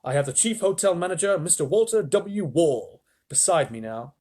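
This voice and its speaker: A man's voice: a little high, expressive, normal speed, darkish tone, voice pushed up. A man voicing an earnest male astronaut in a children's story, reporting in a plain-spoken, folksy way. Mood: awed.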